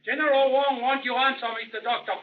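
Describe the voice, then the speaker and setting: A man's voice: very high-pitched, little pitch movement, fast talker. An actor playing a general's henchman in an old-time radio drama, delivering curt threats in clipped broken English. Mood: flatly threatening. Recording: tinny, noisy vintage radio recording.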